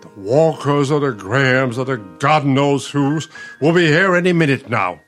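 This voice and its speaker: Actor as an animated, testy elderly husband. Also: male voice, medium pitch, moderate pace, soft background music, lightly acted. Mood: pained, exasperated. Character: exasperated retired dean, smug but caring elderly husband. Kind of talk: radio play acting.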